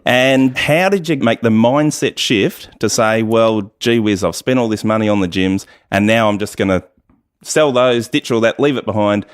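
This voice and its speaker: A man with an Australian accent hosts an interview. His voice is on the low side, and his questioning is informal and curious. The sound is very dry. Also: moderate pace, clean tone, dry recording. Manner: inquisitive, informal. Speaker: interviewer, host. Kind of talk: conversational radio interview.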